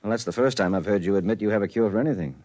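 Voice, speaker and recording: An actor in a 1950s radio drama playing a weary, skeptical, hard-drinking investigator, plainspoken and wry. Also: male voice, lowish voice, very fast, mellow, natural voice. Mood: probing, skeptical. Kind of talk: 1950s radio drama acting with first-person narration. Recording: vintage 1950s radio recording.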